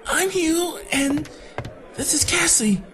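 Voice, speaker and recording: A woman's voice: high-pitched, expressive, slow pace, fairly bright tone, faint music behind, exaggerated voice. Woman doing a stammering nervous kid. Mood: flustered. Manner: stammering, jittery. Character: nervous young boy mercenary.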